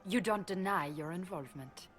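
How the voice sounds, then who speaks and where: An actress playing a sly, sharp-tongued spymaster in a video game, mocking and controlled. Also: female voice, lowish voice, moderate pace, faint music behind, natural voice. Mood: mocking, accusing.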